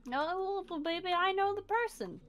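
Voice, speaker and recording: A woman's voice: very high-pitched, lively intonation, moderate pace, a bit bright. A woman playing a carefree teasing young survivor. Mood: casual. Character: playful young female survivor, sneaky. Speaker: roleplay player. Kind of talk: improvised roleplay.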